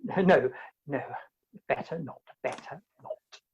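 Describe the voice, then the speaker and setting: A woman playing a bashful, self-righteous young bridegroom in a comic radio play, speaking in a mannered, fastidious way. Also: deep voice, a little mellow, breathy edge, full character voice. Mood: bashful, romantic. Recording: dull, lo-fi video-call recording.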